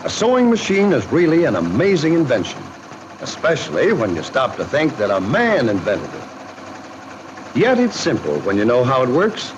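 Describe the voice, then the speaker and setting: Lively 1940s American film narrator, man. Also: mid-range voice, normal speed, background noise. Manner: jocular, folksy showman. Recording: dull, band-limited 1940s film soundtrack.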